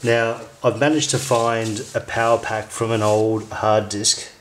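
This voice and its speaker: Low-voiced man giving a casual tutorial. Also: little pitch movement, measured pace, a little hiss or hum. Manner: informal, explanatory. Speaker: amateur tutorial maker. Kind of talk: casual how-to demonstration voice-over.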